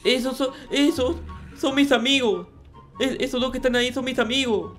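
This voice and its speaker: A man's voice: extremely high pitch, moderate pace, a bit bright. A man playing a naive, childlike, devoted little character in improvised video game roleplay, stammering and pleading. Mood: panicky pleading. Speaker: gameplay roleplay YouTuber. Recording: music behind the voice.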